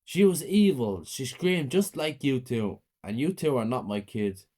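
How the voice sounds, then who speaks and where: A man voicing a cruel, murderous mother who speaks in a menacing, gloating way, raising his pitch for her. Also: expressive, a little slow, a little mellow.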